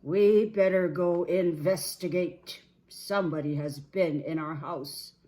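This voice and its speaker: Papa bear for a children's story, female reader: loud, emphatic, commanding, put out. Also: on the low side, slow pace, roomy sound. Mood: firm. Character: big gruff papa bear, indignant and commanding.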